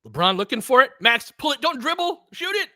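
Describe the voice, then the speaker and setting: A man's voice: extremely high pitch, sing-song, a little fast, bright, forceful tone, dry recording, clean studio sound. A man hosting live basketball play-by-play in short, clipped phrases, openly cheering for his team. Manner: clipped, fragmentary play calls. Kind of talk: live fan-side play-by-play commentary.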